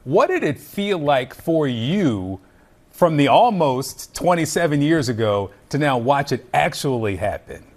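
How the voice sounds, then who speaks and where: A man hosting a news program, interviewing a guest and then reading sports headlines in a brisk, conversational way. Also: on the high side, big pitch swings, darkish tone. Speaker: news anchor.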